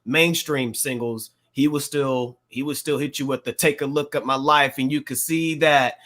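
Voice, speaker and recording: A man's voice: medium pitch, steady tone, normal speed, fairly bright tone. An American man giving casual hip-hop commentary as a panelist, explanatory and slangy. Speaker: podcast panelist.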